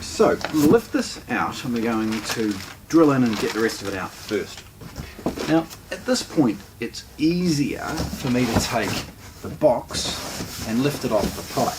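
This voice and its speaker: A man's voice: medium pitch, heavy background noise. A man with a New Zealand accent presenting a casual product unboxing, explaining things informally step by step as he handles them.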